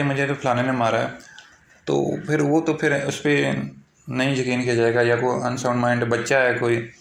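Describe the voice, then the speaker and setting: A man's voice: mid-range voice, a little hiss or hum. Echoey Pakistani man teaching law. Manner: repetitive, filler-heavy explaining with English legal terms mixed in. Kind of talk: informal explanatory lecture, reading clauses then explaining. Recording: echoey, roomy sound.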